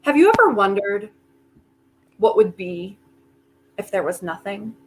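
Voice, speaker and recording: A woman acting in a staged play reading as a desperate, quick-witted, volatile woman who argues in a halting, emphatic way, thinking aloud. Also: medium pitch, very animated, poor audio. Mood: urgent, reasoning.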